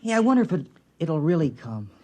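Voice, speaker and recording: A man's voice: fairly high pitch, sing-song, quick pace, a little mellow. An actor playing a breezy, irreverent small-town boy with slangy, casual talk in a 1950s radio drama. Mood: curious. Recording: restored vintage radio recording.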